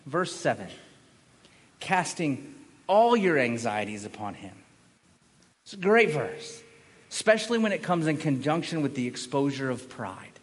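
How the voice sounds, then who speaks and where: A man's voice: on the high side, sing-song, a little slow, long pauses. A man preaching a sermon as a pastor, speaking emphatically, repeating key phrases and posing rhetorical questions. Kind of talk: sermon to a congregation. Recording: echoey hall with long reverb.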